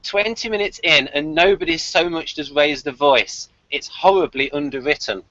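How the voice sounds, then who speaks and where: A man's voice: fairly high pitch, moderate pace, sharp tone, no room sound, lo-fi sound. A man hosting a casual review, reading his notes aloud with dry, jokey asides. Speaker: podcast host reading out his episode review.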